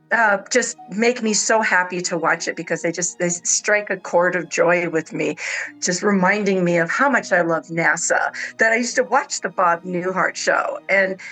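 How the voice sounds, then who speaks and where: A woman of about sixty chatting casually and enthusiastically as a panel guest, often halting and repeating words as she goes. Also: mid-range voice, a bit bright, rough recording. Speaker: panel guest on a radio discussion. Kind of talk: casual chat. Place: radio roundtable discussion.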